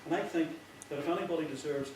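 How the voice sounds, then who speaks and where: A man with a Northern Irish accent giving an informal, earnest speech, often halting and repeating himself. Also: fairly high pitch. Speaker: playwright giving a thank-you speech. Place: echoey indoor hall before an audience. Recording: very echoey, distant, noisy with clicks.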